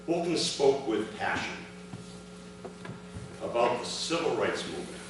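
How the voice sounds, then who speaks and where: A man reading a prepared first-person account aloud to an audience, in measured, separated phrases. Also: medium pitch, big pitch swings. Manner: measured public delivery in short, separated phrases. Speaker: reader of his father's written memoir at a commemoration. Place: large echoey room at an event, recorded from afar. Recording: echoey room, distant microphone, clear background noise.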